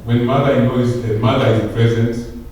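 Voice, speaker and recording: A man's voice: medium pitch, level tone, moderate pace, a little mellow, a little hiss or hum. Man speaking in an echoey hall. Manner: preacher-like, rises to emphatic peaks. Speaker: conference founder giving opening speech. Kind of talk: public speech. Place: large hall. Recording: echoey hall, distant mic.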